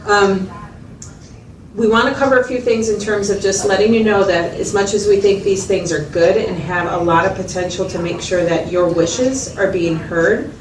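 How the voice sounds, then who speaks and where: A woman's voice: medium pitch, lightly acted. A woman playing a supportive mental health clinician, explaining and reflecting back in a conversational clinical interview. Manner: reflective, explanatory, checking in with the listener. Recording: distant mic, roomy echo, loud background noise.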